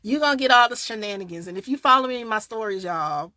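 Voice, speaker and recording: A woman with a Southern accent, chatting casually on a live stream as a grief coach, a little tired, swinging from loud bursts to quiet asides. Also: a little high, lively intonation, fast talker, dry recording, studio quality. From Southern United States. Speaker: grief coach and podcast host. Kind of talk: casual live-stream chat answering viewers.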